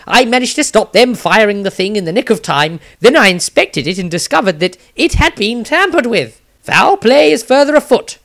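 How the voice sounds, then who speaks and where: A man's voice: extremely high pitch, fairly bright tone, voice pushed up, full character voice. A man voicing a fretful, self-effacing wizard engineer in a fantasy audiobook diary, with a British accent and fussy, wry asides.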